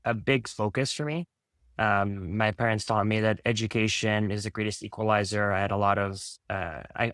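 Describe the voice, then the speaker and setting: A man's voice: on the low side, fairly bright tone, no room sound. A man in his thirties from Canada, Italian his first language, answering interview questions as a startup founder with many fillers and restarts.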